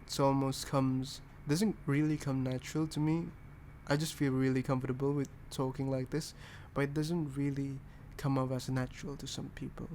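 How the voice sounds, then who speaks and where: A young man from Indonesia with an altered British accent, talking softly and rambling in a boyfriend ASMR roleplay. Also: mid-range voice, flat delivery, moderate pace, few pauses, slight background noise. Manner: soft, rambling, self-deprecating.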